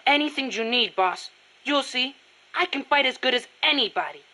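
A woman's voice: high voice, expressive, moderate pace, sharp tone, exaggerated voice. A woman voicing an earnest, proud young boy guerrilla in a video game, youthful and hesitant when flustered. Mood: proud, eager. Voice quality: youthful, boyish.

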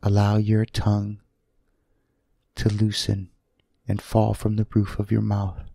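A man leads a sleep meditation in a gravelly, soothing voice. He speaks very slowly, in short, gentle phrases separated by long pauses. The sound is close and dry. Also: fairly low pitch, monotone, dry recording. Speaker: guided meditation leader.

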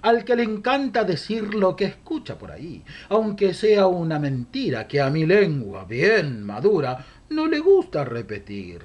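A man's voice: high-pitched, huge pitch swings, measured pace, hardly any pauses, darkish tone, muffled recording. A man in his sixties with a Rioplatense accent, reciting a humorous rhymed monologue at home into a webcam, theatrical and mock-gossipy with chuckling asides. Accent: Rioplatense Spanish. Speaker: monologue host. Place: home room, indoors. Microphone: webcam at desk.

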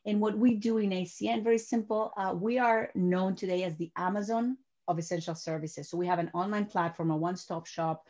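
A woman's voice: medium pitch, steady tone, brisk, hardly any pauses, a little mellow. A woman in her forties, from Peru, talking as a guest on a video call from her home office, repeating words as she builds her sentences. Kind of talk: interview over video call. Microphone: webcam on video call. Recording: dull lo-fi video call audio.

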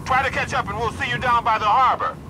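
An actor voicing a determined, authoritative army squad sergeant giving orders in a video game. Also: male voice, extremely high pitch, fairly even intonation, fast. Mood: urgent, commanding. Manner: authoritative, urgent. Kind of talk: video game character dialogue. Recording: noisy battlefield gunfire and vehicles. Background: gunfire, vehicles.

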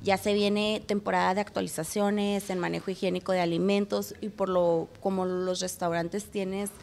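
A woman's voice: mid-range voice, flat delivery, normal speed, vocal fry, darkish tone. A young woman, interviewed in a restaurant on a handheld mic, talking with many fillers and restarts. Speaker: restaurant association president. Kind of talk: news interview. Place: indoors in a restaurant. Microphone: handheld reporter mic.

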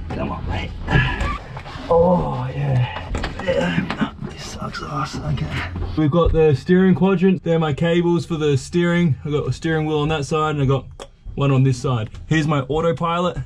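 A man's voice: a little high, measured pace, vocal fry, noisy background. A young man talking casually to a handheld camera on his sailboat and in a boatyard, explaining repairs as he goes. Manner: laid-back, chatty, explains repairs as he goes. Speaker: sailing vlogger. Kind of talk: casual vlog chat. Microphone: handheld action camera.

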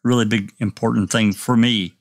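Male host, gravelly voice, dry close sound, casual and hedging. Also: on the low side, fairly even intonation, normal speed, dry recording. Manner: reads viewer chat aloud, hedging with fillers. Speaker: livestream discussion host. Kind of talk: casual panel discussion.

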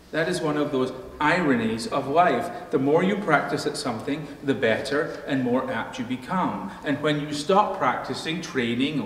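A middle-aged man, a pastor, preaching a measured sermon from a pulpit in a church sanctuary, slowing down at the ends of his phrases. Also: medium pitch. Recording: echoey hall, faint room noise.

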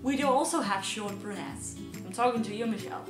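A woman's voice: a little high, fairly quick. Woman, Danish accent, twenties, joking vlogger, roomy echo, guitar music behind. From Denmark. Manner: playful and joking. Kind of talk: scripted vlog monologue. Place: small room indoors. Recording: echoey room with background guitar music.

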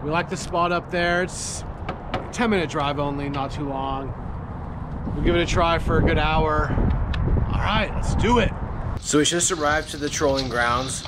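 A man's voice: a little high, normal speed. A man in his thirties casually narrating a fishing vlog from a boat on a river, matter-of-fact and laid-back. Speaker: fishing vlogger. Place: outdoors on a small motorboat on a river. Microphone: action camera at arm's length or mounted on the boat. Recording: noisy outdoor action-camera audio.

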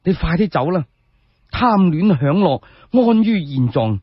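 A resolute Cantonese noblewoman, acted out by a male storyteller admonishes firmly in a radio tale. The voice is raspy, and the recording is dry and dull. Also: on the high side, sing-song, unhurried, no echo, heavily acted. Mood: stern, urging. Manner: firm, admonishing. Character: resolute noblewoman wife urging her exiled husband onward. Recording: dull lo-fi sound.

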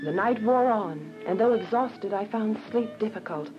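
Actress as earnest young woman prisoner, radio drama narration, medium pitch, music behind. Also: animated, moderate pace, lightly acted. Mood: somber, reflective. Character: earnest, devout young woman prisoner telling her story. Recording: dull recording with music behind.

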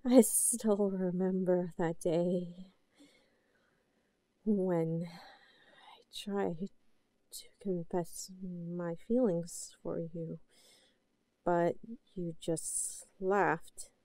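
A woman playing an obsessive, possessive yandere young woman in a roleplay audio drama, cooing and halting, sweetly unhinged. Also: lowish voice, fairly even intonation, dark tone, no echo. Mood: obsessed, wounded. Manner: cooing, halting, breathy asides.

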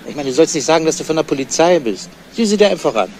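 A man playing a cautious, tactful police investigator in a 1970s radio crime drama, talking in a casual, measured way. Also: a little high, sing-song, darkish tone, slight background noise, poor audio. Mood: calm, tactful.

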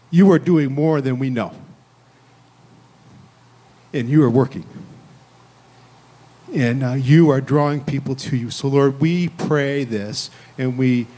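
A man's voice: mid-range voice, darkish tone. A man leading spontaneous public prayer in a church, speaking in an earnest, declarative way. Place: church sanctuary, indoors.

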